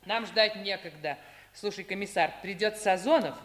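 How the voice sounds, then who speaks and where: An actress playing a bossy, teasing but affectionate officer's wife, brisk and playfully commanding, in 1950s Soviet radio drama style. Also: female voice, medium pitch, a crowd in the background, close to an everyday voice.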